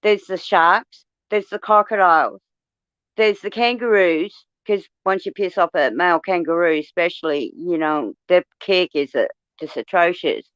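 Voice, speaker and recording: An Australian woman in casual chat, blunt and quick to swear as she tells her stories. The sound is dry and close, and a bit dull. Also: on the low side, clear, resonant tone, no echo. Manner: blunt, swears freely, animated storytelling.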